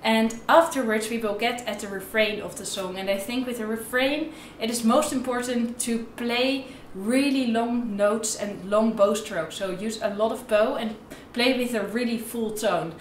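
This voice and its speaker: A woman's voice: fairly high pitch, normal speed, few pauses, far from the mic, slight background noise. A young woman giving an instructional tutorial to camera as a music teacher, recorded indoors in a bare room. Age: young adult. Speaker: violin teacher. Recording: roomy, echoey indoor sound.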